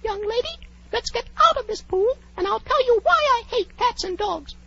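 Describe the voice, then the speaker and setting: A woman's voice: extremely high pitch, expressive, moderate pace, vocal fry, bright, forceful tone, heavily acted. A woman voicing a prim, huffy talking mouse with a pompous schoolmasterly manner, in a 1940s radio drama. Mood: bossy, pompous. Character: prim, huffy little talking mouse, lecturing and easily offended. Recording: dull old 1940s radio recording.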